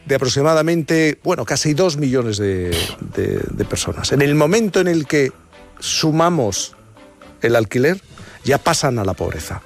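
A man's voice: medium pitch, big pitch swings, moderate pace, faint music behind. A man hosting a talk radio show, explaining a study in a conversational way with small restarts. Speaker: radio host.

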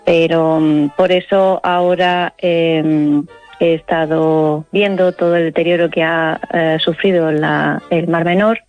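Halting Spanish woman on dull lo-fi radio. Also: sits low, flat delivery, soft background music. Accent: Spain. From Murcia, Spain. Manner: halting, hesitant delivery with frequent pauses. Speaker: interview guest, university professor and scientist. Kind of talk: spontaneous explanatory interview answers.

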